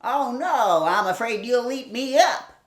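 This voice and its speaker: A woman's voice: fairly high pitch, lively intonation, slow pace, fairly bright tone, roomy sound, voice pushed up. A woman voicing a cocky young boy piglet who talks eagerly and boastfully.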